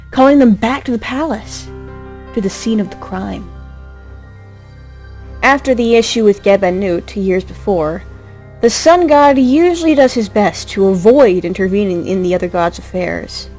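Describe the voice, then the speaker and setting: A woman's voice: mid-range voice, darkish tone. A woman delivering scripted story narration in an emotive, theatrical way. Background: music.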